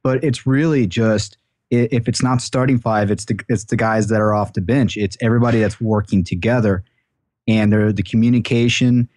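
A man's voice: fairly low pitch, fairly even intonation, normal speed, a little mellow, no echo, clean studio sound. A man giving earnest, wordy, conversational sports analysis as a podcast guest.

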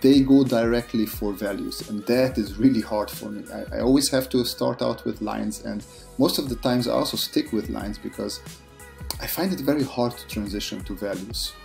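Brief: male artist in his thirties, English, mellow gravelly voice, easygoing voice-over with soft music behind. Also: mid-range voice, moderate pace. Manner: relaxed, self-deprecating, many fillers and restarts. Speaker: artist and channel host. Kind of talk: casual voice-over commentary on a drawing process.

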